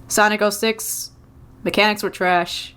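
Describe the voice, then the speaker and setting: A woman's voice: mid-range voice, fairly even intonation, a little slow, a bit bright, smooth. A woman who has just woken up, giving a casual, conversational and opinionated rant as a video game commentator. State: just woke up.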